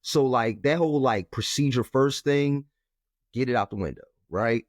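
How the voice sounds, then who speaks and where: Brief: low, firm-toned male educator, emphatic repeats, dry close sound. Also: moderate pace, no echo. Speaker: math educator and author. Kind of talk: conversational interview.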